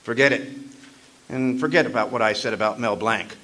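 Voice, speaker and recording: An actor playing a wisecracking, doleful private eye in a noir parody radio drama, with a wry, hammy delivery. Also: male voice, mid-range voice, a little fast, pushed and bright, low-quality recording.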